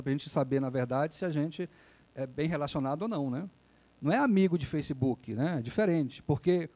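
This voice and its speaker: A man's voice: a little high, dark tone. A man, a lawyer, giving a conversational public lecture and often tagging sentences with a questioning "né?". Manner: conversational, frequent "né?" tag questions.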